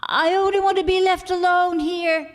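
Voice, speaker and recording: A woman's voice: very high voice, steady tone, moderate pace. A woman playing a lonely, mysterious recluse in an old-time radio drama, with a formal, timid manner. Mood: timid pleading. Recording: echoey hall stage recording.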